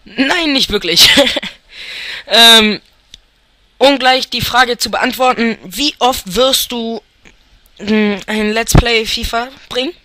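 A man's voice: very high-pitched, slow pace, long pauses, pushed and bright. A young man from Germany giving casual, rambling commentary over a football video game as he thinks aloud and chuckles. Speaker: let's player.

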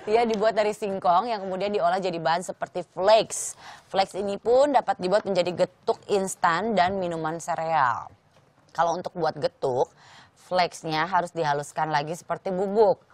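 A woman's voice: lowish voice, normal speed, darkish tone. A young adult woman co-hosting in a TV studio, chatting and reading out trivia. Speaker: TV show co-host. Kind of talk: lively studio chat and reading out food trivia. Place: TV studio kitchen set, indoors.

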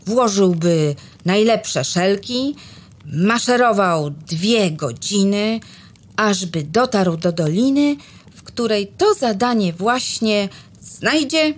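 A woman's voice: medium pitch, lively intonation, slow. A woman narrating a rhymed children's Christmas story expressively, sometimes dropping to a whisper.

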